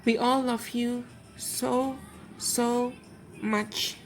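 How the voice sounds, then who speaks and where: Gentle woman card reader. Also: a little high, flat delivery, extremely slow, faint music behind. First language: Indonesian. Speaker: tarot and oracle card reader. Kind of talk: channeled card reading for viewers.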